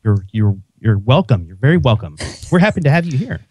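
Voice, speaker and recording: A man's voice: mid-range voice, very animated, fairly quick, a little mellow, dry recording. A man hosting a podcast, chatting casually and restarting his sentences often. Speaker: podcast host. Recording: dull lo-fi sound.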